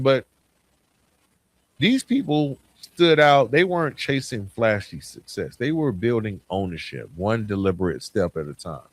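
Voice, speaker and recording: A man hosting a podcast alone in a reflective monologue, stressing points by repeating phrases. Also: mid-range voice. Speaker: podcast host. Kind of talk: solo podcast monologue, reflective year-end recap.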